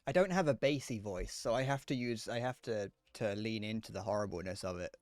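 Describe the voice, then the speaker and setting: A man's voice: on the low side, brisk, a little mellow, no echo, faint music behind. A young British man streaming a game, chatting casually in a slightly whiny voice, rambling and self-deprecating, swearing now and then. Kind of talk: casual live gameplay commentary.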